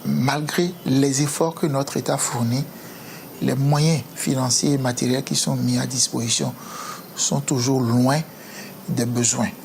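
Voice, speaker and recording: A man answering an interview in a slow, deliberate way. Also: medium pitch, steady tone, vocal fry, darkish tone, slight background noise.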